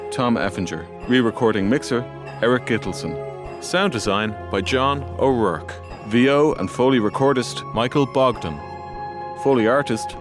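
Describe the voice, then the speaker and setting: Guy w/ kinda low voice reading scripted drama credits, announcer style, music under it. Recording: voice over theme music.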